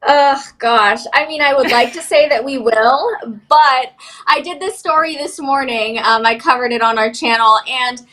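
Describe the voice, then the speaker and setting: A woman's voice: high voice, moderate pace, bright, forceful tone, rough recording. A woman co-hosting a sports talk show, giving a thoughtful opinion with some hedging. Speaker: co-host. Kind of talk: casual sports commentary.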